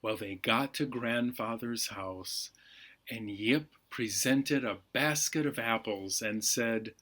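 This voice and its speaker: Man, mid-range voice, slow pace, deliberate phrasing, children's bedtime storyteller, storytime host. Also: mid-range voice, sharp tone.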